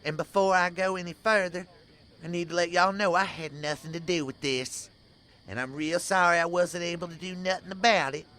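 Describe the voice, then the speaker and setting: A man's voice: sits high, steady tone, fairly quick, bright, forceful tone. A man playing a humbled, apologetic cowboy with a rural American accent in a comic Western audio drama. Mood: remorseful, grave. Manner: folksy, apologetic. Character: humbled, remorseful cowboy with bandaged, blistered face.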